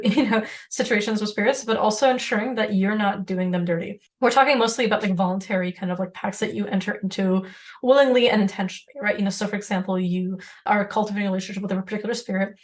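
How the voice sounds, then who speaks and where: A woman co-hosts a casual podcast discussion. She talks quickly and at length, at a middling pitch, and laughs often as she goes. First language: Serbian. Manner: rapid, talkative, laughs often. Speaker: podcast co-host.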